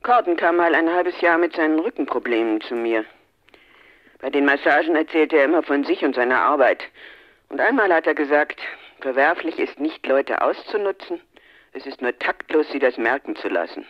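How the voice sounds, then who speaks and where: A man's voice: on the high side, moderate pace, highs cut off. An actor playing a detective's teasing, caring male friend over the telephone in a radio play. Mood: thoughtful.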